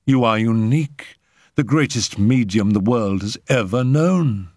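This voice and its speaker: Man acting an earnest, coaxing gentleman, animated pitch. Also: unhurried, dry recording, studio quality. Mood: admiring. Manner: earnest, persuasive, placating. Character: enthusiastic, coaxing Frenchman, persuasive lover.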